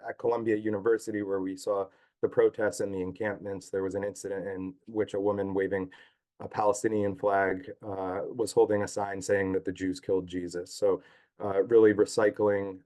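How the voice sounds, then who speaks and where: A hoarse man giving a talk over a video call, halting with many fillers. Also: fairly low pitch, warm tone. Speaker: guest speaker, advocacy group staff chief. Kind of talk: online talk.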